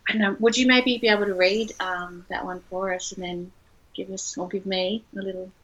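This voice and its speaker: A woman with a distinctly bright, medium-pitched voice. She interviews in a conversational literary style, enthusiastic and effusive as she shares her responses and puts her questions. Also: flat delivery, fairly quick, rough recording.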